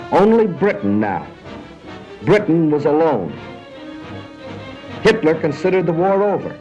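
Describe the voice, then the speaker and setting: A man's voice: fairly high pitch, a little mellow. An American man narrating a wartime documentary from a script in a dramatic, emphatic and solemn 1940s style. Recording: muffled 1940s film soundtrack, music behind.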